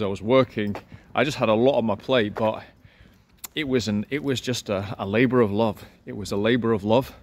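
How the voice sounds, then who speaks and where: A man's voice: medium pitch, slight background noise. A man in his thirties, a language teacher, talking in a playful, self-assured way in a casual vlog recorded outdoors. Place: outdoors in a city street, by a wall.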